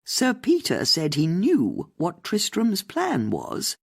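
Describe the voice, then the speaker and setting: An audiobook narrator voicing a sharp, inquisitive older Englishwoman, an amateur sleuth who asks pointed questions. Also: medium pitch, huge pitch swings, takes it slowly, creaky, breathy edge, voice pushed up, heavily acted. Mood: probing. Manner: probing, interrupting, pointed. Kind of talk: audiobook character dialogue.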